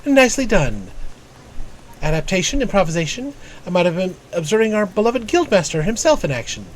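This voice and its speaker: A man's voice: high-pitched, very animated, a little fast, a little hiss or hum, pitched above the performer's own voice, low-quality recording. A man voicing an analytical guild tactician from a game, reading the dialogue in a formal, precise, lecturing way. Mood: instructive, measured.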